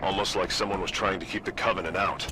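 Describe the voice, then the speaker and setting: Man as a deep-voiced, sardonic soldier. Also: steady tone, fast, noisy background, lightly acted. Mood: wary, dry. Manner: dry, sardonic. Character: plainspoken, wary male soldier, dryly sardonic. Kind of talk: video game cutscene acting. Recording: loud music behind. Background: loud game music.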